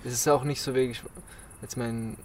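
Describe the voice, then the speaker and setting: A man's voice: medium pitch, fairly even intonation, normal speed, a little hiss or hum. A man in casual podcast chat, speaking hesitantly with frequent restarts. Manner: hesitant, many restarts and fillers. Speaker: podcast co-host.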